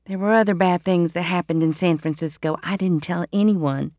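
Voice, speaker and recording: A woman's voice: lowish voice, moderate pace, darkish tone, dry recording, clean studio sound, natural voice. A woman voicing a distrustful young mother who speaks tensely and defensively. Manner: tense, raised and clipped.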